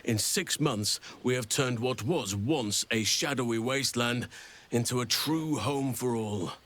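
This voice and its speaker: An actor playing a gentle, wise, warm-hearted druid in a fantasy video game, speaking in formal, courtly, unhurried phrases. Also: male voice, mid-range voice, close to an everyday voice. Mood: calm, proud. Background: music.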